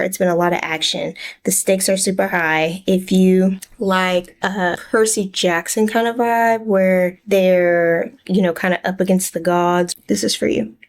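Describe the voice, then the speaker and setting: Chatty American woman vlogging casually. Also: mid-range voice. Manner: informal, chatty. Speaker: book vlogger. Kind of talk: casual vlog chat.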